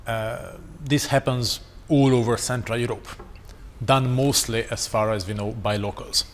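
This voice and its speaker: A man whose first language is Hungarian speaks English on a panel in a steady, analytical way. He lays out his arguments step by step. His voice rings through a large hall with long reverb and a faint background hum. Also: mid-range voice. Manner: measured, analytical, non-native English. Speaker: conference panelist. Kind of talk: panel discussion. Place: conference hall.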